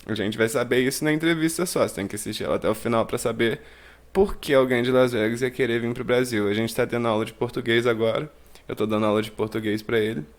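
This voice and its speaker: A man's voice: mid-range voice, steady tone, fast. A man who teaches English, hosting a casual podcast interview in a playful, joking way and switching between Portuguese and English.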